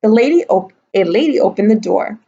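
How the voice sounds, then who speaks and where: A woman's voice: mid-range voice, animated, brisk, warm tone, husky, highs cut off. A woman teacher reading a picture book aloud to young children, adding simple explanatory asides. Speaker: kindergarten and first grade teacher.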